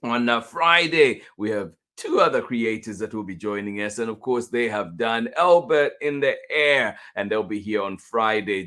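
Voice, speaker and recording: Low-voiced man hosting a live story time. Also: fairly bright tone. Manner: effusive, repeatedly thanking and greeting listeners. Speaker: host of a children's story time livestream. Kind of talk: live show hosting, greeting viewers.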